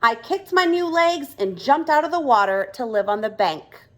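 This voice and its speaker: Woman voicing a high, lilting, patient storybook frog. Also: lively intonation, moderate pace, a bit bright. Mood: enthusiastic, explaining. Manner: patient, explanatory, emphatic. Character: friendly grown-up frog, patient explainer of nature. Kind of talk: children's storybook read-aloud dialogue.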